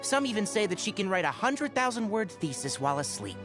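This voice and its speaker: A man voicing a young fox-eared forest ranger in a video game, a scholarly mentor who talks in an articulate, wry, gently lecturing way. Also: sits high, big pitch swings, brisk. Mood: skeptical. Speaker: video game character voice actor. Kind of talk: game character voice lines, conversational monologue. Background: background music.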